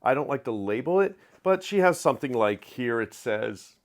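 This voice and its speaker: A middle-aged man talks through his monthly reads in a casual, opinionated way, his voice sitting at an ordinary middle pitch. He is recorded close to a webcam in a home study and gestures a lot with his hands as he talks. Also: normal speed. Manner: candid, opinionated, animated hand gestures. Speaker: book vlogger. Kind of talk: casual reading wrap-up review. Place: home study with shelves of collectibles. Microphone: webcam framing, close to camera.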